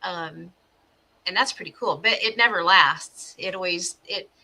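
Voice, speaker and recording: Lady cohosting over video call, lowish voice but really bright and sharp, chatty. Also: unhurried. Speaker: podcast co-host. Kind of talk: casual podcast discussion.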